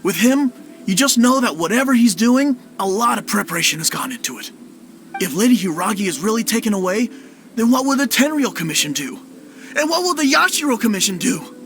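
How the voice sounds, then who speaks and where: A woman's voice: a little high, sing-song, fairly quick, slight background noise. A woman playing a curious, matter-of-fact guard in an anime-style video game. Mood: inquisitive.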